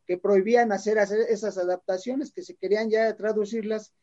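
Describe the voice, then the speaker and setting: A man's voice: high-pitched, steady tone, brisk, dark tone, highs cut off. A man hosting a radio show, rambling and repeating words as he talks. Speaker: radio host. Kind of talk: radio interview chat.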